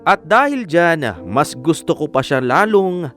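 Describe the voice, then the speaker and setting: A man's voice: fairly high pitch, sing-song, unhurried, a bit bright. Casting: admiring man telling his own story for radio drama, guitar underneath. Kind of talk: scripted first-person radio drama narration. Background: plucked guitar music.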